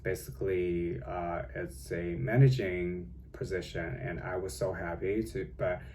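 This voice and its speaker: Young man, Chinese accent, low gravelly voice, slow unhurried talk, casual home vlog. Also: fairly even intonation, darkish tone, slight background noise. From China. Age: young adult. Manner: rambling, many fillers and self-corrections. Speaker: vlogger. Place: home with cats.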